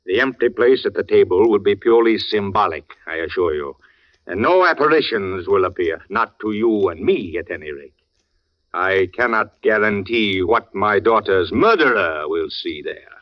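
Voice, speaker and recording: A man's voice: lowish voice, animated, moderate pace, natural voice. An actor playing a theatrical old father, grieving and bent on revenge, in grandiose, florid 1940s radio drama style. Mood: ominous, wry. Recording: dull vintage radio recording.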